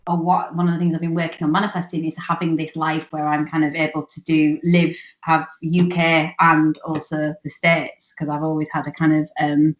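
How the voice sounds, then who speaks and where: Young woman, Hull accent, low-ish voice, loose chatty guest energy, roomy echo. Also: flat delivery, moderate pace, few pauses. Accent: Hull, northern English. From England. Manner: chatty, many ums and restarts. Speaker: podcast guest, online fitness business owner. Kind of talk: casual chat.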